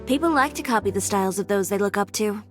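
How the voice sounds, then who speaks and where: A woman's voice: mid-range voice, quick pace, close to an everyday voice. A woman voicing a confident, businesslike boutique owner with a composed, matter-of-fact way of talking. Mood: instructive. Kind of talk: video game voice acting. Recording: game audio with music behind. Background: game music.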